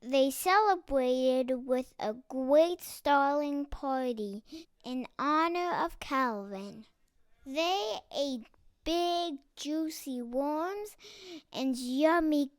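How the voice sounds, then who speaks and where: A child reading a children's picture book aloud with deliberate, dramatic emphasis. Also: high-pitched, lively intonation, no room sound. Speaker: storybook reader.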